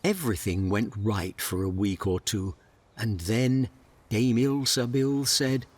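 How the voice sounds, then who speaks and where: A man narrating a fairy tale audio drama in a measured, dramatic storybook style. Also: on the low side, slightly rough, no room sound.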